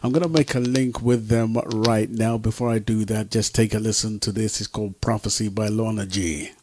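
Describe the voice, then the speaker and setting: A man's voice: on the low side, fairly even intonation, moderate pace, a little mellow. A man hosting a radio talk show, presenting in a casual style. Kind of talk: casual presenting, introducing guests.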